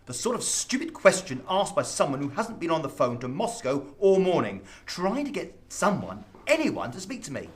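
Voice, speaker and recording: A man's voice: a little high, sing-song, normal speed, few pauses. A man acting in a radio drama as an exasperated government diplomat, sarcastic and accusing. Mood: exasperated, scathing.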